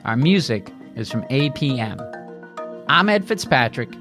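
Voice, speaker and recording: A man's voice: mid-range voice, sing-song, unhurried, music in the background. A man hosting a podcast, reading scripted narration. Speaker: podcast host.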